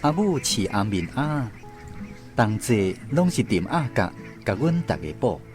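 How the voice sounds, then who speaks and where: A grown child recalling family life in a radio drama. His Taiwanese-accented narration is tender and very expressive in pitch, with soft guitar music underneath. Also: measured pace. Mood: nostalgic. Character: grown child fondly remembering a hardworking mother. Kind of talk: scripted literary radio narration.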